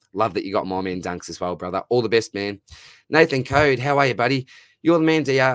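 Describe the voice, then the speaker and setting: A young Australian man with a lowish voice talks into a close mic in a dead-dry room. He runs a relaxed live-stream Q&A, reading out questions and answering them in a friendly, matey way. His throat sounds dry and a bit worn. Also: no room sound. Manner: matey and chatty, lots of "mate" and "brother", reads out questions. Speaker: fantasy football streamer answering viewer questions.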